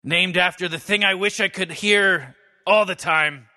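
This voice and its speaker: A man's voice: sits high, a little slow, sharp tone, exaggerated voice. A man voicing a cynical old male mad scientist, sarcastic and rambling, stammering over his words as he mocks game characters. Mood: sardonic. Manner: sarcastic, rambling, stammered restarts, barking insults. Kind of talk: comedic commentary on game characters.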